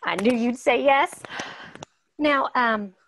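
A woman's voice: a little high, slow, fairly bright tone, a little hiss or hum. A woman reading the part of a blunt, scheming ex-convict at a table read.

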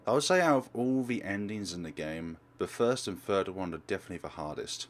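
Deep-voiced man narrating a game playthrough. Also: expressive, brisk. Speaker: let's play host. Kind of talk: game commentary, reading text aloud. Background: game music.

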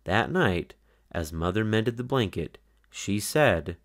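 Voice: sits low, expressive, slow pace. A narrator reading a children's picture book aloud in a scripted storytelling style.

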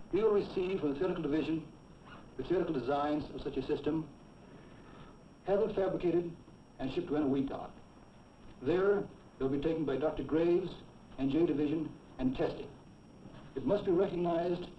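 An American man in his fifties delivering a formal, emphatic and deliberate briefing as a director. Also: on the high side, slight background noise. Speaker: laboratory director. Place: indoor meeting room. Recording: echoey, distant, muffled old film soundtrack.

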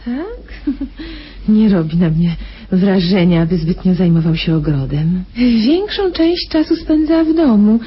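An actress playing a sweetly patronizing, inquisitive private nurse in a radio drama, chatty, coy and cooing. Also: female voice, medium pitch, very animated, a little slow, breathy edge. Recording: dull radio recording with faint noise.

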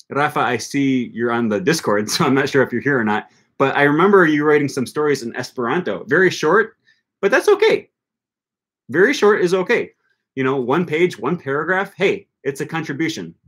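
A man's voice: mid-range voice, a bit bright. A young man hosting a casual livestream, reading viewer comments aloud in an upbeat way with many ums and restarts, close to a desk mic in a small room. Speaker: language-learning channel host. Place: small room with bookshelf, indoors. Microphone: desk microphone close in front.

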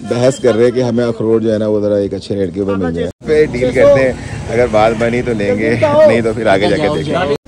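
A man's voice: on the low side, brisk. A young man chatting casually to viewers as a travel vlog host, speaking into a handheld phone camera. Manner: chatty, addresses viewers directly. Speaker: vlogger host. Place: busy night bazaar outdoors. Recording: crowd noise of a busy street market.

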